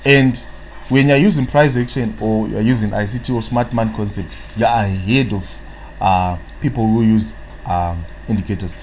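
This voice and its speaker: A man's voice: fairly low pitch, moderate pace, darkish tone, slight background noise. A man from Zimbabwe with a Zimbabwean accent, hosting a live trading analysis stream, rambling and tagging sentences with "what, what" while he answers viewers' chat. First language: Shona. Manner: rambling, fills gaps with "what, what".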